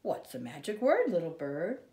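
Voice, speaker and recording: A woman's voice: lowish voice, wildly swooping pitch, normal speed, dark tone, roomy sound. A woman voicing bossy storybook farm animals, chiding emphatically and making exaggerated animal calls. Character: bossy farm animals scolding a silly little bird.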